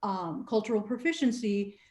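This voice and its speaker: Middle-aged woman, spanish is her first language, explains things slowly at a mid pitch, echoey room sound. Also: lively intonation, warm tone. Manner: measured, explanatory, pauses to search for words. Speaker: city council member. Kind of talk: council meeting remarks.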